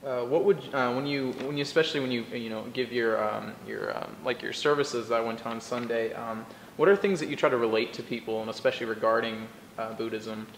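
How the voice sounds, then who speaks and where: A man's voice: medium pitch, fairly even intonation, brisk, a little hiss or hum. Hesitant male interviewer in an echoey room. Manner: hesitant questions with many fillers. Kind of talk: interview questions.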